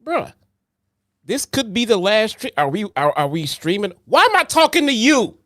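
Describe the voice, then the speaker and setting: Dry, teasing podcast co-host, a man; a little high-pitched, no room sound. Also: very animated, moderate pace, a bit bright, no room sound. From Chicago. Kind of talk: casual chat.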